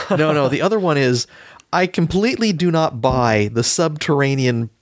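A man with a fairly high, lively voice hosts a casual discussion of films. He banters and states his opinions firmly, and the sound is close and dry. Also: normal speed, no room sound. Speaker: podcast host.

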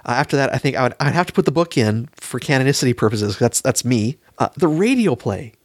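Animated middle-aged man on a podcast. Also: mid-range voice, fast, no echo, studio quality. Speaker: podcast co-host. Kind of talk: casual podcast discussion.

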